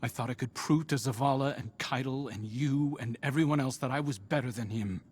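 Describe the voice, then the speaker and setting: Actor as a brooding, guilt-ridden young hero. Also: male voice, mid-range voice, a little fast, slight background noise, close to an everyday voice. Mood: remorseful. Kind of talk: dramatic scripted game dialogue.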